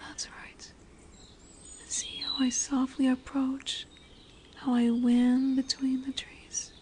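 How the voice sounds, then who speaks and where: A woman's voice: sits high, completely flat delivery, slow pace, a little mellow. A woman voicing a large lamia, a seductive serpent woman who is commanding yet soothing, in a formal, hypnotic, coaxing manner for a roleplay audio drama. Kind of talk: roleplay audio drama with soft whispered passages. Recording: noisy woodland ambience with birdsong. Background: birdsong and forest ambience.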